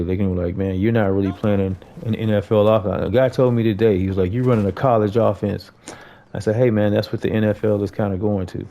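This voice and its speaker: A man's voice: on the low side, vocal fry, dark tone. An American man giving a casual, conversational gameplay tutorial, talking informally to viewers as a host.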